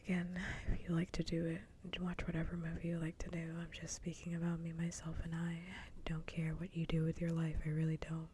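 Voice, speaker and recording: A woman streamer chatting casually and with opinions in a soft, breathy whisper, close to an ASMR microphone. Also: low-pitched, monotone, brisk, few pauses, a little hiss or hum.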